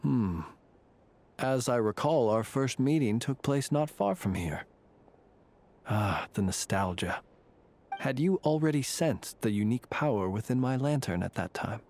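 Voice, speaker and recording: An actor playing a courteous, secretive lantern keeper in a video game, speaking in a formal, measured, wry way. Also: male voice, medium pitch, expressive, darkish tone. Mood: wry, nostalgic. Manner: formal, measured, dryly ironic.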